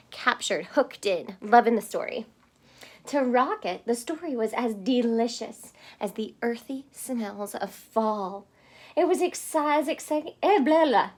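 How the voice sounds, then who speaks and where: A woman reading a picture book aloud to children, expressive and stopping to explain words. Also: fairly high pitch. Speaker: storybook reader.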